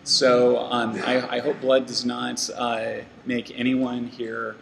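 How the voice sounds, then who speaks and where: A man's voice: mid-range voice, monotone, takes it slowly, vocal fry, fairly bright tone, slight background noise, poor audio. A man giving a casual talk to a meetup audience, rambling with lots of fillers and restarts. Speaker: meetup speaker. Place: meeting room with an audience.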